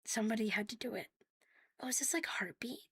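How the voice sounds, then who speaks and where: Woman, soft breathy voice, fairly high pitch, playful and cutesy, half-whispered livestream chat, close mic. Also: fast, no echo. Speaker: ASMR live streamer hosting in a virtual world. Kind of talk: casual livestream chat with viewers. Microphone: close, sensitive streaming microphone.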